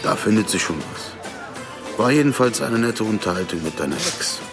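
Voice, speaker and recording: An actor playing a quietly menacing crime boss in a radio drama, unhurried and ironic. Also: male voice, lowish voice, animated. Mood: amused, superior. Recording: music clearly behind the dialogue.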